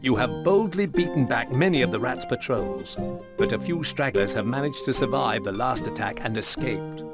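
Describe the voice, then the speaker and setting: A man performing as the formal, measured storybook narrator of a medieval war game. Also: medium pitch, expressive, over loud music, lightly acted.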